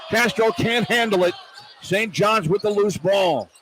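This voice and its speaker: A man's voice: sits high, unhurried, a bit bright, poor audio. A man calling live basketball play-by-play on the radio, narrating in clipped running phrases and stretching words on big plays. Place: indoor basketball arena. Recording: arena noise behind a live broadcast. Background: arena ambience.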